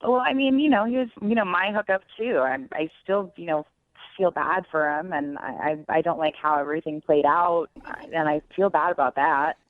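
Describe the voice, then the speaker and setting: A woman's voice: low-pitched, moderate pace, dry recording. Young woman on a tinny phone line. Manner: hesitant, apologetic, repeats herself. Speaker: radio show caller. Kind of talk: casual confessional storytelling. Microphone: telephone.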